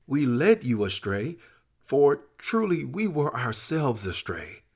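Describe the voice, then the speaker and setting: A man's voice: medium pitch, sing-song, slow, breathy edge, voice pushed up, exaggerated voice. A man voicing doomed sinners and scoffers in a dramatized scripture reading, exclamatory, anguished and accusing.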